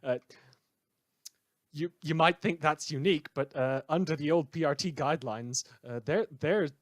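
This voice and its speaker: A man acting a sardonic, unhinged radio host, higher-pitched. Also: no echo, studio quality, lightly acted. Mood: wry, rambling. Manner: sarcastic, halting, stammering. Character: bitter, unhinged male radio host, sardonic renegade cape. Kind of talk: rambling radio monologue, fan audio drama.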